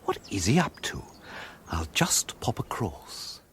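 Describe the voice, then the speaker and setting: An actor playing a fussy, excitable English village bachelor in a radio comedy, prim and hesitant in manner. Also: male voice, lowish voice, takes it slowly, in a whisper. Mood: puzzled, inquisitive. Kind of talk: radio comedy drama acting.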